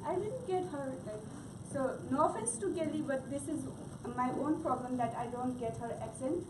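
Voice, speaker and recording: A woman's voice: high-pitched, lively intonation, normal speed. A young woman from Nepal whose first language is Gurung, speaking with a Nepali accent and giving a hesitant class presentation full of fillers and restarts. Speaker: student presenter. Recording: echoey room from far off, noisy.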